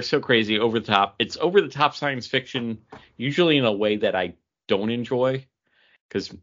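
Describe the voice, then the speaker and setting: Bright-voiced, enthusiastic man podcasting. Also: lowish voice, highs cut off. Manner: enthusiastic, rambling. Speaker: podcast co-host. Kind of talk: casual comic book review chat.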